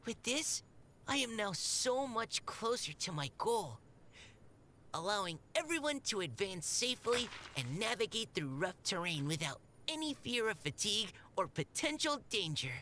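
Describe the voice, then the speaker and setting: Bright, earnest young surveyor. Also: mid-range voice, big pitch swings, normal speed, a little hiss or hum. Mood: grateful, hopeful. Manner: formal, polite, earnest. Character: earnest young male surveyor, eager and courteous. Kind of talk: anime game character voice acting.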